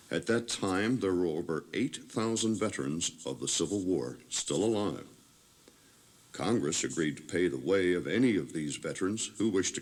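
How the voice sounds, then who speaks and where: A man giving scripted audio tour narration as a guide. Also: sits low.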